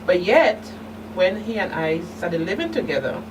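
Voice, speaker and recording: A middle-aged woman giving candid personal testimony as an interview guest, speaking haltingly with emphatic turns. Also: fairly low pitch, very animated. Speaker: guest, survivor and advocate. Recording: distant mic, noisy room hum.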